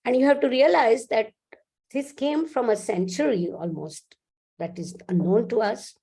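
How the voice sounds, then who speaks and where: A woman's voice: fairly high pitch, normal speed, warm tone, breathy edge. A woman in her seventies from India, a physicist giving a lecture with slides, explaining at length and restarting sentences as she goes. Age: 70s. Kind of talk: academic talk with slides.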